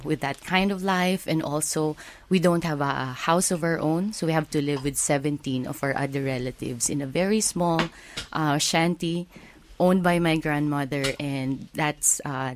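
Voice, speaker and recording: A woman from the Philippines with a Filipino accent, a guest earnestly telling her life story with many uh and um pauses. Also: deep voice.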